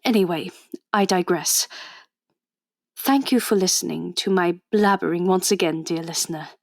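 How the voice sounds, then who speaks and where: A woman's voice: fairly low pitch, moderate pace, creaky, a little mellow, dry recording, clean studio sound. A woman playing a witty, stubborn Edwardian undercover agent in a radio drama, British accent, with formal, chatty period phrasing. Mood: confiding, reflective. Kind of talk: radio drama acting and first-person narration.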